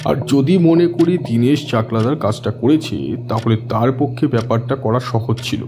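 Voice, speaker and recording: Guy playing matter-of-fact cop, explains methodically, medium male pitch, clear music behind him, audio drama. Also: moderate pace, mellow, close to an everyday voice. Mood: speculating. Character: matter-of-fact male police officer reporting a case.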